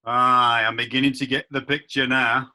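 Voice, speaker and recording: A woman playing a stern male magistrate in a period courtroom radio play, questioning witnesses briskly. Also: a bass voice, little pitch movement, bright, forceful tone, exaggerated voice. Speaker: judge. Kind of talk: amateur radio play acting.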